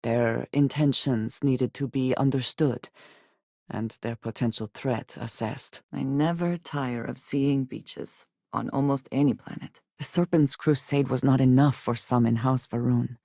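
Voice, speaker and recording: A woman voicing a guarded, devout space adventurer and loyal companion in a video game, speaking in formal, measured diction. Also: very deep voice, fairly even intonation, mellow, no room sound, studio quality, exaggerated voice. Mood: guarded, composed. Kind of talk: video game character dialogue.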